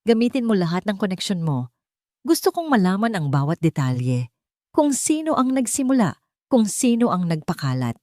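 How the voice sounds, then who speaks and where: A woman's voice: mid-range voice, big pitch swings, normal speed, a little mellow, dry recording, natural voice. A woman acts out a Filipino billionaire man in an audio storybook. He is direct and commanding, weighed down by guilt. The sound is dry and close. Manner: direct, terse orders. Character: guilt-ridden, commanding young billionaire businessman.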